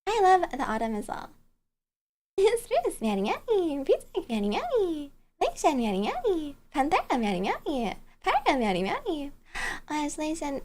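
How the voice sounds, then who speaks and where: Animated high-pitched woman livestreamer. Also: moderate pace, a little hiss or hum. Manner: bubbly, giggly, playful, cutesy. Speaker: livestreamer, virtual bear-girl host. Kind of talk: casual livestream chat, greeting viewers.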